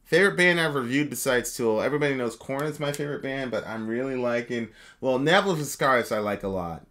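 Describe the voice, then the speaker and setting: A man from Jamaica, co-hosting a casual live chat, animated and laughing often as he reads comments. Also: mid-range voice, fast. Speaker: co-host.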